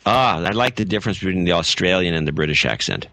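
A man's voice: sits low, animated, quick pace, vocal fry, pushed and bright, no echo. A middle-aged man co-hosting a podcast in easygoing, rambling casual chat. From US West Coast. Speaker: podcast co-host.

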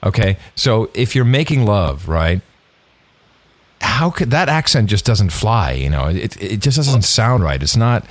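A man's voice: lowish voice, very animated, measured pace, dry recording. A middle-aged man co-hosting a podcast in casual chat, joking and sarcastic. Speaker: podcast co-host.

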